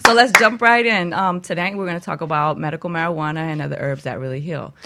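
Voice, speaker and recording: Radio host, quiet and easygoing, reading the show's welcome. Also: mid-range voice, fast, vocal fry.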